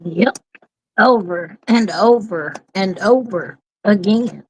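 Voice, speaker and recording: A woman's voice: mid-range voice, takes it slowly, a little hiss or hum, low-quality recording. A woman panelist giving her opinion in casual, blunt and salty panel chat.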